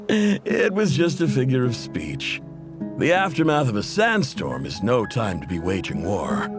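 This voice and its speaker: An actor playing a middle-aged desert tribe chief and doting father, speaking with fatherly warmth in a game cutscene. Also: medium pitch. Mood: cheerful.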